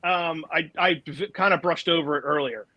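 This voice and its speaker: A man's voice: a little high, normal speed, sharp tone, no room sound, lo-fi sound. A man from the United States speaking as a musician guest in a casual interview, easygoing and agreeable.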